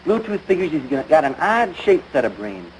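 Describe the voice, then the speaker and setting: An actor playing an earnest, dim-witted American high school boy in a domestic comedy, mixing slang with lofty words. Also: sing-song, moderate pace. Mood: earnest, matter-of-fact. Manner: earnest slangy talk mixed with lofty wording. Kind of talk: domestic radio-style situation comedy. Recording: noisy vintage broadcast recording.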